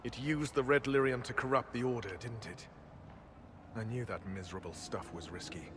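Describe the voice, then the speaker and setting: An actor playing a grim, resolute templar knight officer in a fantasy video game, commanding and earnest. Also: male voice, mid-range voice, fairly even intonation, moderate pace, a bit bright, slight background noise, lightly acted. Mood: grim regret. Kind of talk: dramatic video game voice acting.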